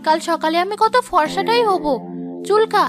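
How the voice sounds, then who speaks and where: A woman acts out a vain, gullible young village wife in a cartoon drama. The voice is extremely high and childlike, whiny and theatrical, with music playing behind it. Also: normal speed. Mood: distressed. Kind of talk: Bengali cartoon moral drama voice acting.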